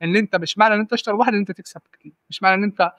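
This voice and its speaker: Fast-talking young Egyptian man, high voice. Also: a bit bright, no echo. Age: 20s. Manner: rapid, repeats and restarts words, asks "right?" often. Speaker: guest data analyst. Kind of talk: casual podcast interview, giving advice.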